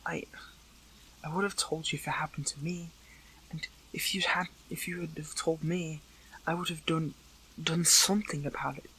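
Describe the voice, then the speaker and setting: A man playing an earnest, hurt captive superhero in an audio roleplay, speaking in halting, pleading phrases. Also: on the high side, fairly even intonation, sharp tone, a little hiss or hum, poor audio. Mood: indignant. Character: captive male superhero, earnest, hurt, questioning an old friend. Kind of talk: dramatic audio roleplay acting, one side of a dialogue.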